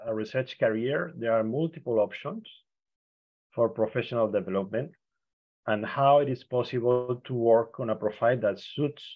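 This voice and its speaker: A man speaks very slowly as a seminar moderator, pausing often and filling gaps with "uh". He talks through an earphone mic on a video call. The sound is dull and lo-fi. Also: mid-range voice. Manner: slow and hesitant, with many pauses and fillers. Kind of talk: introducing a speaker.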